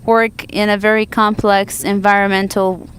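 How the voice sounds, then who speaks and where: A woman interpreting a panel discussion live into English from a conference booth, halting often to restart and correct her words. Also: medium pitch, level tone, a bit bright.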